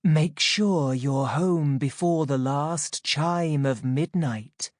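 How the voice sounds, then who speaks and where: A woman voicing a kindly, magical fairy godmother in a storybook, friendly and reassuring in her deliberate delivery. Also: sits low, dry recording, studio quality. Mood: gentle warning.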